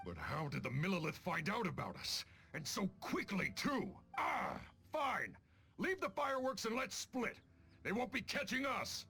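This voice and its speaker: A man playing a cocky, crude bandit boss in a video game, with rough street-thug diction. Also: sits high, huge pitch swings, unhurried, heavily acted. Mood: alarmed. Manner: crude, bossy, swinging into loud shouts. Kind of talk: animated video game acting. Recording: music behind the voice.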